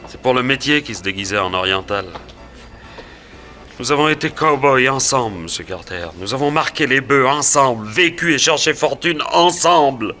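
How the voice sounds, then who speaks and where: An excitable fairground barker in a radio detective play, shaken by loss. His voice is medium-pitched and bright, with a theatrical, halting delivery. Faint music sits behind him. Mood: sorrowful. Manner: theatrical, halting and exclamatory when upset. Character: grieving fairground barker, ex-cowboy, excitable and bewildered. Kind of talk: French radio detective serial acting.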